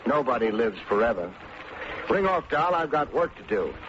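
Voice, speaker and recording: An actor playing a cocky, shrewd private detective with wry, slangy, clipped delivery in an old-time radio drama. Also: male voice, mid-range voice, sing-song, moderate pace, natural voice. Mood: brisk, teasing. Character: wisecracking, hard-boiled male private detective, cocky and shrewd. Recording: muffled, noisy vintage radio recording.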